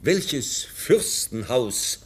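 An actor playing a stern, worried professor and guardian in a 1950s German radio play, speaking in an earnest, moralizing way. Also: male voice, sing-song, extremely slow, slightly rough, full character voice. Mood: agitated complaint. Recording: rumbly old 1950s radio recording.

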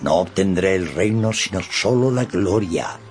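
A noble old knight in a radio play. His voice is extremely deep with sweeping intonation, solemn and grandiloquent, over faint music. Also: animated, a little slow. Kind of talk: Spanish-language radio drama acting.

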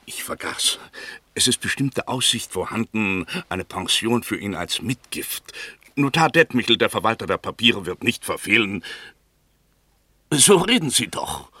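An actor playing an imperious older aristocratic count in a German radio play, speaking in a stiff, lofty, formal way. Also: male voice, on the low side, very animated, unhurried, sharp tone, husky, dry recording, exaggerated voice.

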